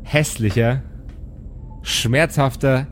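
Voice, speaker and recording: A man's voice: mid-range voice, steady tone, drawn-out words, a little hiss or hum. A man narrating an improvised tabletop audio play as game master.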